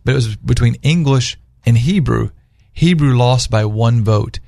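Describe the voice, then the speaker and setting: A man's voice: medium pitch, measured pace, creaky, mellow, dry recording, clean studio sound. A man speaking as a guest on a radio talk panel, earnestly telling stories and posing rhetorical questions in conversational religious discussion.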